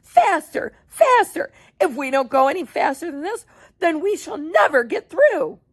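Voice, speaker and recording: A woman's voice: mid-range voice, measured pace, vocal fry, husky, exaggerated voice. Woman doing a bouncy, eccentric factory owner. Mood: exhilarated. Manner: bouncy, excitable, theatrical. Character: eccentric cheerful man, chocolate factory owner.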